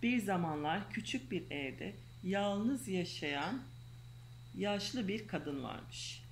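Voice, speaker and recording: Mother reading to her child at bedtime; hoarse, soft, unhurried. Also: medium pitch, expressive, a little hiss or hum. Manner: gentle, coaxing, reads slowly. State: losing her voice. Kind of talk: reading a children's storybook aloud. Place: home bedroom, indoors.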